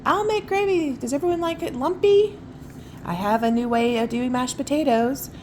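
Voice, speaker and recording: A woman's voice: high-pitched, normal speed, darkish tone, slight background noise, voice pushed up, close to an everyday voice. A woman voicing a flustered, fussy, bustling older hostess, Santa's wife, for a children's storybook.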